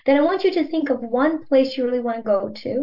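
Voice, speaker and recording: A woman from Ukraine, a native Ukrainian speaker, talking as a guest in an interview in an earnest, emphatic way, slowing down to stress key points. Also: high-pitched, lively intonation. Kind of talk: interview conversation. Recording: dull lo-fi audio.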